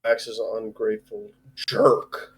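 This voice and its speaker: A man's voice: lowish voice, flat delivery, measured pace, a crowd in the background. A man co-hosting a live stream in casual, slangy chat.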